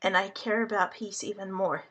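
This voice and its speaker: A woman whose first language is Hungarian, speaking to the public in a slow, earnest monologue, halting and deliberate with many pauses. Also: medium pitch, steady tone, a bit bright. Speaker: vlogger addressing the public.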